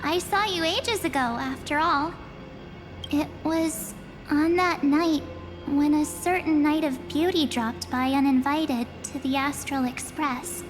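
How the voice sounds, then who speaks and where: A woman's voice: sits high, slow, over music, poor audio. An actress playing a young, anxious girl traveler in a video game, speaking in a hesitant, informal way. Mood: hesitant, unsurprised.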